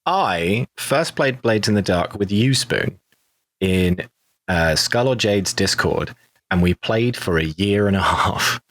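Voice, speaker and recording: British man, low register, relaxed podcast chat among castmates, very dry recording. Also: slow, no echo. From Britain. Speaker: podcast cast member, actor and producer. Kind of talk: casual podcast round-table chat.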